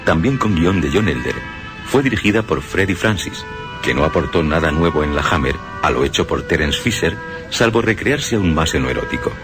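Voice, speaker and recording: Deep, animated male film commentator. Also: normal speed, over music. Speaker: radio commentator on horror films.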